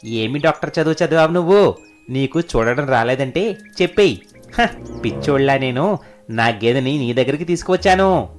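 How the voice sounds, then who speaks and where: A man's voice: medium pitch, animated, moderate pace, lightly acted. A man voicing a blunt, scornful village farmer in a cartoon folk story. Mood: scornful, angry. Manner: mocking, blunt. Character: indignant, scornful village buffalo owner. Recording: faint music behind.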